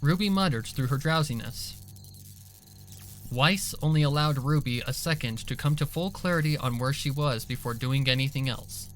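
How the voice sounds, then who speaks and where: A man's voice: mid-range voice, normal speed, faint music behind. A man reading scripted dramatic story narration as the narrator of an audio drama.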